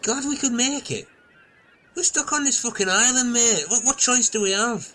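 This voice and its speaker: A man's voice: extremely high pitch, normal speed, pushed and bright, slight background noise, low-quality recording. A man voicing a cranky male castaway who heckles and swears. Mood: heckling.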